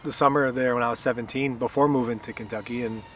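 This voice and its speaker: A man's voice: medium pitch, steady tone, fast, far from the mic, a little hiss or hum. Wry man from Guatemala, a bit off-mic. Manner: wry, self-deprecating, laughs often. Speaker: guest. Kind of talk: casual interview answers. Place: outdoors at a park playground.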